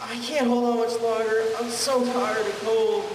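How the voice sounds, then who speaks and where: A male actor plays a frightened, exhausted boy of fifteen in an audio drama. His voice is very high and pleading, sounding distant in a long, hissy echo. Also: flat delivery, moderate pace. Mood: desperate, pleading. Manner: pleading, desperate. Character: frightened, exhausted fifteen-year-old boy trapped in a well. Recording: echoey, distant, with hiss.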